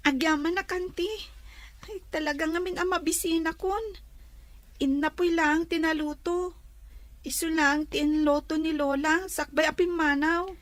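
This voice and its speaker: Very high polite niece, played by a woman, dry and close. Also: fairly even intonation, a little slow, dry recording. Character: polite, grateful young niece. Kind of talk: Ilocano comedy-drama acting.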